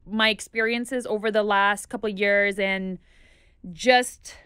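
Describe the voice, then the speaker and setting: A woman in her thirties, a podcast guest and activist, speaking earnestly in a casual interview with frequent fillers, recorded on a studio boom mic in a small podcast studio. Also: steady tone, measured pace, a bit bright, clean tone, no room sound. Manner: earnest, thoughtful, lists points with frequent fillers. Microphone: studio microphone on boom arm.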